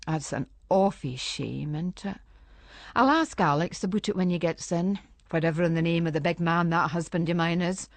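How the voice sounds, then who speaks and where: A woman's voice: fairly low pitch, normal speed, no room sound, close to an everyday voice. A woman playing a chatty, anxious housewife in a radio drama, rambling on in homely broad Scottish dialect. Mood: concerned.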